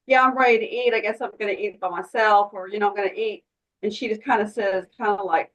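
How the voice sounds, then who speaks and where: A woman's voice: medium pitch, fairly even intonation, fast talker, fairly bright tone, rough recording. Rambling woman in a casual discussion. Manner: rambling, circling back to restate her point. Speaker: workshop participant.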